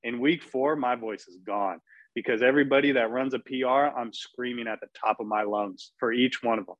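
A man's voice: mid-range voice, fairly quick, dry recording. A man speaking as a performance coach on a discussion panel, talking emphatically. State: losing his voice. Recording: dull, dry lo-fi audio.

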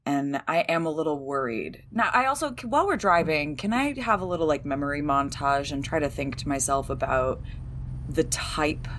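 Female tabletop player, slightly low voice, casual rambling table talk full of asides. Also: expressive, moderate pace, a bit bright, a little hiss or hum. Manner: rambling, piles up questions and asides.

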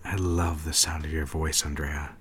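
A low-pitched, secretive gentleman in love, played by an actor in a period radio romance. He is intimate and coaxing, with faint noise behind him. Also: little pitch movement, moderate pace. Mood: tender, coaxing. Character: smitten, secretive well-to-do gentleman lover. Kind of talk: romantic period audio drama acting.

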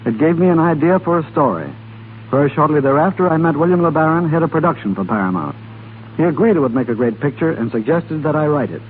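A man's voice: fairly high pitch, animated, fast talker. An American man as a guest in a scripted 1930s radio interview, reading his answers stiffly. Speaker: studio talent executive as radio guest. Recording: tinny, hissy 1930s radio broadcast.

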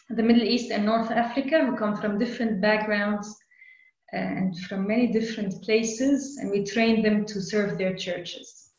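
Woman from Lebanon, calm formal delivery, roomy dull call sound. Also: mid-range voice. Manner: measured, formal self-introduction. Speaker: seminary partner relations manager. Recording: echoey, muffled video call audio.

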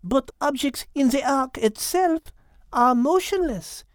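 A woman's voice: high-pitched, animated, takes it slowly, dry recording, clean studio sound. A woman voicing a brainy French female animal in a children's audio drama, speaking precisely and formally with logical poise. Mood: thoughtful, logical. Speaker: co-host and character in an animal story podcast.

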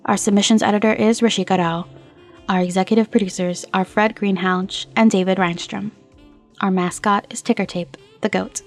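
A woman's voice: mid-range voice, steady tone, moderate pace. A woman podcast host reading a scripted outro. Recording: music playing behind the voice. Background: music.

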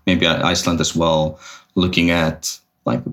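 Very deep-voiced hesitant man. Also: level tone, normal speed, a bit bright. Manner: hesitant, restarts often, thinks aloud. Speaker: podcast guest. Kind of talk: casual business interview.

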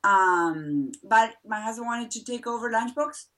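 A woman's voice: a little high, lively intonation, a little slow, fairly bright tone, far from the mic, a little hiss or hum. A middle-aged woman from Argentina, a mother of four, chatting as an interview guest with frequent laughs and fillers. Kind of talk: casual interview chat.

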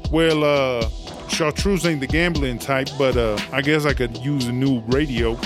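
A man's voice: medium pitch, fairly even intonation, unhurried, lightly acted. A man playing a boastful, slangy female inmate who raps, with swaggering, profane talk, in a comedy audio drama. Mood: sassy. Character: brash, boastful female prison inmate and rapper. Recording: music behind the voice.

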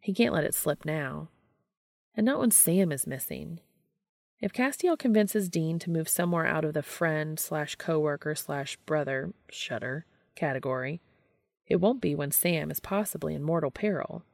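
A woman's voice: deep voice, moderate pace, vocal fry, darkish tone, dry recording, clean studio sound. A woman narrating a scripted piece of fiction as a story reader.

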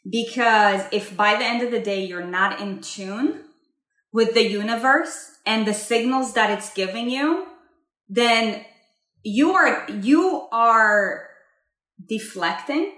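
A woman's voice: fairly high pitch, takes it slowly, a bit bright, echoey room. A woman from Israel co-hosting a casual talk about spirituality, emphatic and repeating herself as she addresses listeners directly.

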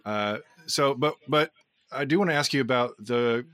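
A man's voice: mid-range voice, moderate pace, fairly bright tone, no room sound, clean studio sound. A man hosting a casual podcast interview in an informal, effusive, rambling way. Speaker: podcast host.

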